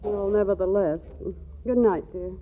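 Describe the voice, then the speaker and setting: An actress playing a flustered, wry wife torn between love and money in an old radio comedy, with an arch, hesitant delivery. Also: female voice, mid-range voice, moderate pace, lightly acted. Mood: resisting, tender. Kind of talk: 1940s radio comedy acting. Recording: tinny old radio broadcast, music behind.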